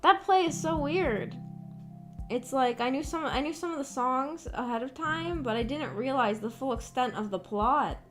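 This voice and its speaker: Chatty streamer woman, rough morning voice. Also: high voice, normal speed, soft background music. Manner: rambling, chatty, lots of fillers. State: rough, hoarse-sounding voice from just waking up. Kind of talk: casual chat stream.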